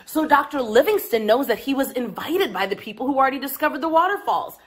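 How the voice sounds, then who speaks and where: A woman telling a story to young children in an expressive, engaging way, with asides to her listeners. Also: sits high, fast talker.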